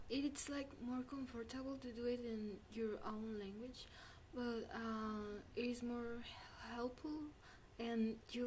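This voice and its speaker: Young female student, Spanish first language; unhurried, halting answers, faint room noise. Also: a little high, poor audio. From Spanish-speaking country. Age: young adult. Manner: hesitant, many fillers and restarts. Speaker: student guest. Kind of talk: interview answers.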